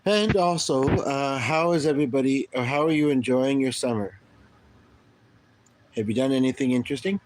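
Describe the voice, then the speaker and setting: A middle-aged man from Canada talks to his livestream viewers in a loose, wandering way. He trails off, starts sentences over and leans on plenty of ums. His voice sits at an ordinary middle pitch for a man. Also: a little hiss or hum, low-quality recording. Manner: rambling, halting, many ums and restarts. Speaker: livestream host. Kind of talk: casual livestream chat.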